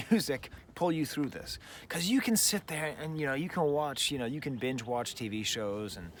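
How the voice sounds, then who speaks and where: A middle-aged man talks through an album in a loose, rambling way, circling back with "like" and "you know." His voice sits at an ordinary middle pitch. He is outdoors, with faint background noise behind him. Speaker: music reviewer, show host. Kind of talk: casual spoken album review. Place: outdoors, birds nearby.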